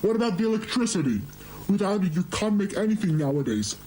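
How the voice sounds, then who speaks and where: A man's voice: sits high, very animated, quick pace, darkish tone, a little hiss or hum, poor audio. A man voicing an exhausted, anxious monster queen who scolds her husband in an amateur horror drama. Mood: exasperated, scolding.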